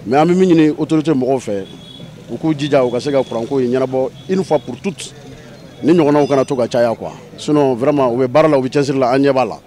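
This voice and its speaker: A man in his thirties answering a street interview outdoors, measured and gesturing as he speaks into a handheld mic. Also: mid-range voice, warm tone. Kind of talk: vox pop answer. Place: outdoors by parked cars. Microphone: handheld mic held by interviewer.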